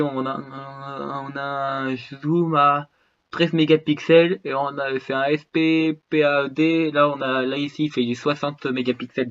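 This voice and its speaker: A man's voice: fairly high pitch, a bit bright, highs cut off. A man with a cold, short of breath, chatting casually and rambling to his viewers as he shows off his phones and gear. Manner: rambling, restarts, slangy. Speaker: YouTuber showing his gear. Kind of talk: casual vlog chat to viewers.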